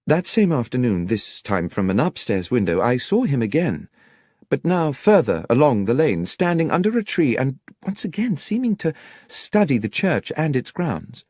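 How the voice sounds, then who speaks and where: An earnest young country vicar, acted out by a male narrator, anxious and unfailingly courteous. His speech is articulate and wordy, with lively rises and falls, in a close, dry audiobook sound. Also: mid-range voice, moderate pace, dry recording. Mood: earnest, recounting. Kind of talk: audiobook character dialogue.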